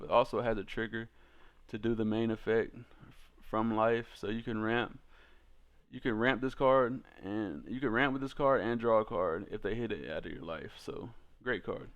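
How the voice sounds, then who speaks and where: A man's voice: on the low side, normal speed, muffled recording. A man giving casual, slangy commentary as host of a card game video, talking informally to his viewers.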